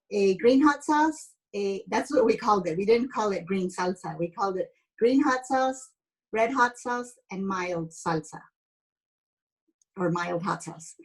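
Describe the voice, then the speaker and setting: A woman's voice: mid-range voice, breathy edge, poor audio. A woman in her fifties from San Jose, a chatty, explanatory interview guest telling personal stories in a casual way. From San Jose, United States. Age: 50s. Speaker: interview guest, educator and former pastry chef.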